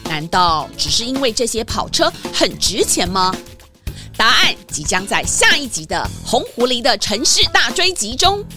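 Female children's story narrator: expressive, slightly high, music bed behind. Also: normal speed.

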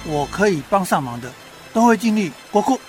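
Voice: mid-range voice, sing-song, brisk, soft background music, close to an everyday voice. An actor playing a dutiful, timid frog squad leader in a children's radio drama, polite and apologetic. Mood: deferential, reluctant. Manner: polite, apologetic, ending lines with a croak word.

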